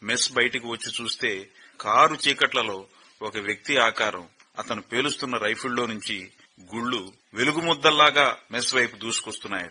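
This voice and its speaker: An actor playing a grave male officer who breaks hard news gently over the phone in a radio play. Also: mid-range voice, slightly rough. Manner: measured, gentle, calls the girl "mother" fondly. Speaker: officer on a phone call, colleague of the father. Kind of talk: radio drama acting. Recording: radio broadcast.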